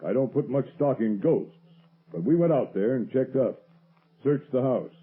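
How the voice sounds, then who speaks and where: An actor playing a brusque, impatient, skeptical police commissioner in a 1930s radio crime drama. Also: male voice, medium pitch, expressive, normal speed, breathy edge. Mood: irritated skepticism. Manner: brusque, blustery, dismissive. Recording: muffled, tinny old radio recording.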